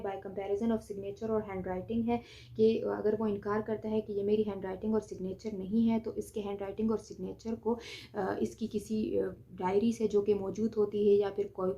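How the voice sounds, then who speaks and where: Mellow-voiced woman lecturing, dull recording. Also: medium pitch. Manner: repetitive step-by-step explaining, slowing to read out English terms. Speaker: law lecturer. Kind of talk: explanatory exam lecture, mixing in English legal terms.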